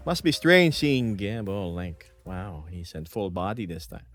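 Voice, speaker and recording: A man's voice: sits low, sing-song, a little slow, faint music behind. A man in his thirties streaming from home, chatting casually and reading chat messages aloud with frequent laughs.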